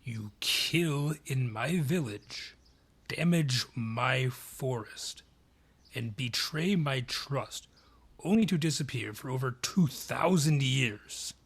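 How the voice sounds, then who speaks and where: Man playing a slow-talking, mocking haughty dryad. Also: mid-range voice, animated, fairly bright tone, husky, full character voice. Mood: accusing, angry. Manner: sardonic, theatrical, drawn-out taunting. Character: mocking, haughty male dryad holding an old grudge. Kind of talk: scripted audio roleplay acting.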